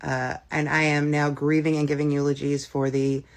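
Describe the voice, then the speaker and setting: A woman in her forties speaking haltingly with "uh" fillers. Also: low voice, level tone. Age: 40s.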